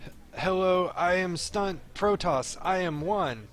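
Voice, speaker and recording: A man's voice: sits high, slow, a bit bright, faint music behind, pitched above the performer's own voice. A man voicing a brash, immature young male pro gamer in a visual novel, boastful and teasing. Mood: halting.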